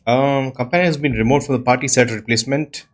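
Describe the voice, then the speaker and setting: A man's voice: mid-range voice, fast. A man giving casual commentary as he plays through a video game, talking through it in an everyday way. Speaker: gamer narrating a playthrough.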